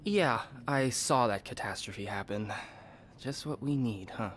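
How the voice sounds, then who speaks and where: A man's voice: mid-range voice, a little slow, a bit bright, lightly acted. A man voicing a friendly, joking young hotel worker, easily flustered, in a narrative video game. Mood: chatty, amused. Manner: informal, joking.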